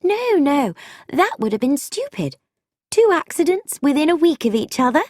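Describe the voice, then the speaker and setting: A woman reading a story, voicing an eager, quick-witted young woman sleuth in a higher, livelier voice. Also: dry recording, studio quality. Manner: excited, insistent, animated.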